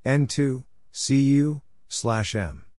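A man reading scripted practice material as a narrator, measured and spelling out letters and numbers one at a time. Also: medium pitch, animated, a little mellow, a little hiss or hum. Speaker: narrator of Morse code practice. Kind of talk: scripted readout of callsigns and exchanges.

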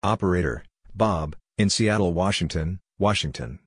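A man reading out short scripted radio phrases as a practice narrator, in a measured, clipped, phrase-by-phrase way. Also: low voice, husky, dry recording.

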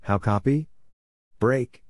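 A man reads out short scripted phrases as a practice-drill narrator, in clipped, deliberately enunciated pieces. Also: mid-range voice, a little hiss or hum.

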